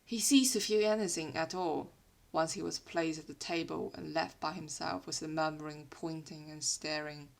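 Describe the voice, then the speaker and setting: A woman who is not a native English speaker, with a British-influenced accent, reads fiction aloud in an expressive, literary storytelling manner. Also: low-pitched, normal speed, creaky. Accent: British-influenced non-native English. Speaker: podfic reader. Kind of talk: dramatic scripted fiction narration.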